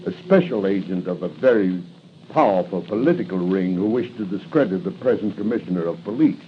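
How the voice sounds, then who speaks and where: A man's voice: low-pitched, a little fast, close to an everyday voice. Male actor as masked killer for 1940s radio serial: menacing, gloating, theatrical, on a dull, slightly noisy old recording. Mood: gloating menace. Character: sinister, gloating masked killer, agent of a crime ring.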